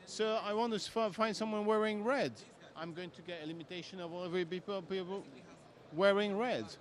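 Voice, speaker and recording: Brief: man, high voice, emphatic non-native English, trade show demo. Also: fairly quick. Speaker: software demonstrator. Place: exhibition stand at trade show.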